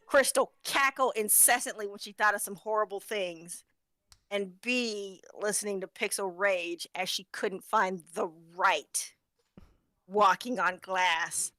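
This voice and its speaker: A woman's voice: medium pitch, a little slow, pushed and bright, no echo. A woman chatting casually as a guest, with a playful, dramatic, self-deprecating way of talking. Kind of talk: casual chat.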